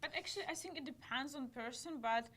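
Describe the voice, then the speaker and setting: A young woman from Russia with a Russian accent, a guest model speaking English as a second language, animated and laughing as she tells stories. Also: high voice, fast talker. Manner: animated, laughing, acts out little scenes. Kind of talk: casual interview chat.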